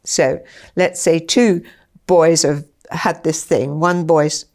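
Older woman explaining, lively and animated. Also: deep voice, takes it slowly. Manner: animated, gestures a lot, acts out dialogue. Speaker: interviewee, former school head. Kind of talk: explanatory interview talk. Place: small study with bookshelves, indoors.

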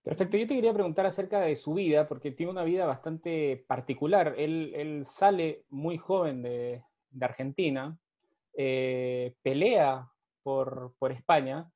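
A man's voice: on the high side, a little slow, mellow, highs cut off. A young man from Peru hosting a virtual history talk on a video call from a home study, with many fillers and restarts. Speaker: host and historian. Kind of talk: virtual panel conversation. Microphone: video call with earphone mic.

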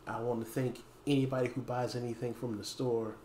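A man in his thirties talking casually to camera as a store owner making an announcement, pausing often mid-phrase. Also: mid-range voice, level tone, mellow. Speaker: small game store owner and video host. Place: dim indoor room with wooden floor. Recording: echoey room, faint background noise.

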